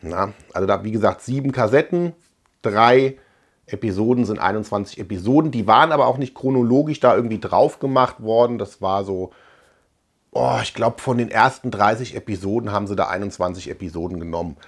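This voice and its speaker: A man's voice: on the low side, a little fast. A man in his forties from Germany, hosting a video in a casual, conversational way with musing asides. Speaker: host of a retro film and cartoon collecting channel. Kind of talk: casual chat to camera. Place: small room lined with shelves, indoors.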